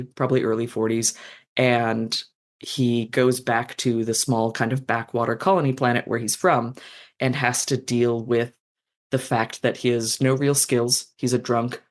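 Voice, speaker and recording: An author guest in a casual podcast interview, talking enthusiastically and at length, with frequent ums and likes. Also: mid-range voice.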